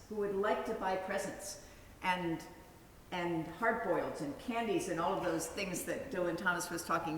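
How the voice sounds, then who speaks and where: A woman's voice: lowish voice, fairly bright tone, slight background noise. A woman minister making a conversational announcement during a worship service. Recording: distant mic in an echoey hall.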